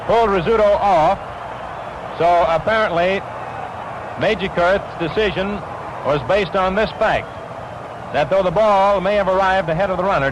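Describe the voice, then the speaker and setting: An American man calling baseball play-by-play in 1940s radio style, describing the action in crisp phrases. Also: high-pitched. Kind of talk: 1940s radio sports commentary. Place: ballpark broadcast position. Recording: noisy vintage 1940s radio broadcast.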